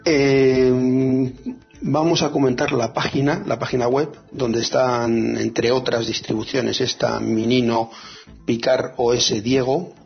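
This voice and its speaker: A man co-hosting a radio show about free software, talking in a hesitant, casual way with many fillers and restarts. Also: medium pitch, flat delivery, takes it slowly, creaky, breathy edge, dull audio, faint music behind.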